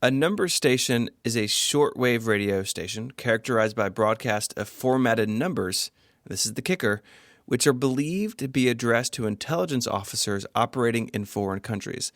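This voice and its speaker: A man's voice: mid-range voice, animated, normal speed, no echo, clean studio sound. A man co-hosting a podcast, reading scripted explanations mixed with casual banter. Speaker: podcast co-host.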